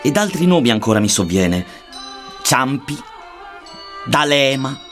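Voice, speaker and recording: A man reciting an archaic satirical verse narrative in a solemn, measured, declamatory manner. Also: mid-range voice, very animated, fairly bright tone, soft background music. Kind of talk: dramatic poetry recitation in archaic Dantean verse.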